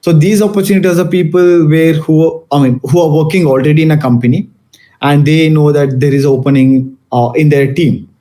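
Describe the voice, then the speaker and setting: A man's voice: a little high, steady tone, brisk, dark tone. A young man from Malaysia giving a casual talk to students, with many fillers and restarts. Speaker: guest speaker.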